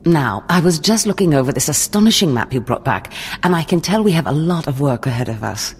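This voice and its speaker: A woman's voice: low voice, expressive, brisk, a little mellow, slight background noise. A woman playing a poised, menacing, condescending Sith lord in a video game, with a theatrical, mock-sweet manner. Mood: smug approval. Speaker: villainous mentor.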